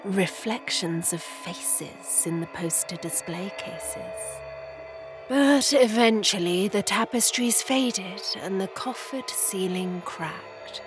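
A woman giving a scripted, atmospheric radio drama introduction in a measured, ominous storytelling manner. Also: mid-range voice. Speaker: announcer, narrator. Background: music.

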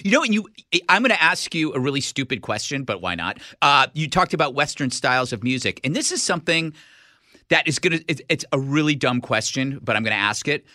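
A man's voice: mid-range voice, animated, fairly quick, bright, forceful tone, no echo, clean studio sound. A man hosting a podcast interview, asking questions in a self-deprecating way with hesitant restarts. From San Francisco area. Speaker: podcast host.

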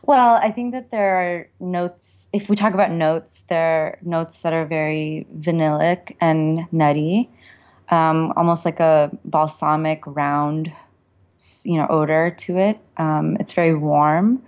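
Very low-pitched woman, reflective. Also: steady tone, measured pace, lo-fi sound. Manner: reflective, informal, explanatory. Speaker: author and perfumer, interview guest. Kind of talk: conversational interview answers.

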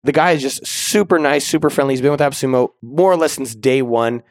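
A man hosting a podcast, upbeat and slangy, with a slightly raspy voice. He chats casually and closes the show with shout-outs. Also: mid-range voice, brisk, no echo, studio quality. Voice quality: a little raspy. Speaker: podcast host. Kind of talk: casual podcast chat and outro.

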